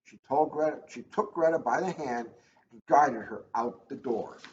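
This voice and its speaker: A man's voice: mid-range voice, moderate pace, a little mellow, reverberant. A man, a police officer, reading a children's storybook aloud in a casual, informal style. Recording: dull lo-fi audio, faint noise.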